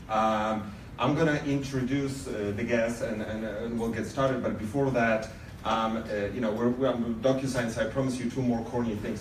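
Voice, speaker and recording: A man's voice: mid-range voice, slight background noise. Hesitant Polish-accented man welcoming a crowd. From Poland. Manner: hesitant, many ums and restarts. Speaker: event host from sponsor company. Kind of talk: welcome speech at live event. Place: large event venue indoors. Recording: echoey room, mic at a distance, audience murmur.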